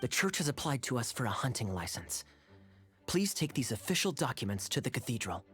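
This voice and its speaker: An actor playing a suave, teasing young knight captain in a video game, with a sly, playful, smooth delivery. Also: male voice, medium pitch, big pitch swings, a little fast, fairly bright tone, faint music behind.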